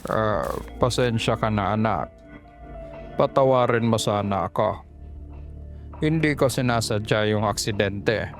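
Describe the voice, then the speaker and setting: A man's voice: medium pitch, natural voice. A man playing a weary ghostly father and driver, apologetic and sorrowful, in a horror audio drama.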